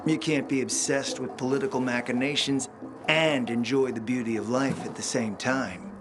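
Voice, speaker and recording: A sardonic, mocking man, played by an actor, with a mid-pitched voice that drips sarcasm. Clear music plays behind him, as in a game cutscene. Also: steady tone, normal speed, natural voice. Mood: sarcastic. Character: mocking, sardonic male companion.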